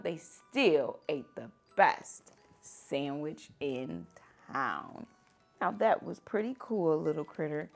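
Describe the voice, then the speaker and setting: Woman, fairly low pitch, slow pace, expressive storybook read-aloud, teacher addressing children, soft music behind. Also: gravelly.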